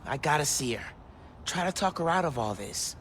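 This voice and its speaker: Lively, high-voiced teenage superhero. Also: male voice, moderate pace, a little hiss or hum. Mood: sincere. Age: young adult. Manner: quippy, earnest. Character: earnest seventeen-year-old male superhero, quippy and stressed. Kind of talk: video game cutscene acting.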